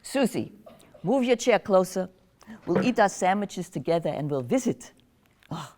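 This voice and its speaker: A woman's voice: lowish voice, lively intonation, measured pace, darkish tone. Lady doing an old granny in a nursing home, teasing, chatty, voice goes up and down a lot. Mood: doting. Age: older. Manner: gossipy, teasing, drifting into confusion. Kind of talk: one-woman stage monologue.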